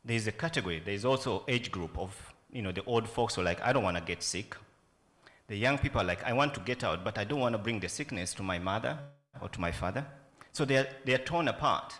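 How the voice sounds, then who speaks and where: A man with a Ugandan accent talks into a stand mic at a festival panel. His voice sits low and steady, earnest and reflective. A long hall reverb trails behind every phrase. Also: fast talker. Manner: earnest, reflective, asks many questions. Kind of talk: panel talk. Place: conference room with a yellow banner.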